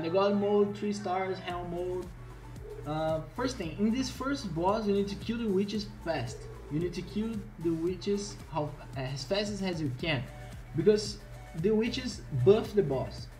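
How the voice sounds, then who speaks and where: A man's voice: on the high side, a little mellow, low-quality recording. A man from Brazil with a Brazilian Portuguese accent gives a casual game tutorial, hesitantly rephrasing as he goes. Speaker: gaming video creator.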